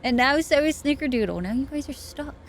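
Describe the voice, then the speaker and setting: A woman's voice: sits high, animated, brisk, slight background noise. Tired, chatty woman streamer. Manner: chatty, thanks viewers and reads chat aloud. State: tired, late at night. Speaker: game streamer. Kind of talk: casual chat while gaming live.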